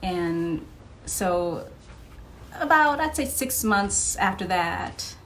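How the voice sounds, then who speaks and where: A woman's voice: mid-range voice, expressive, extremely slow, slight background noise. A woman telling personal anecdotes as an interview guest in a casual, conversational way.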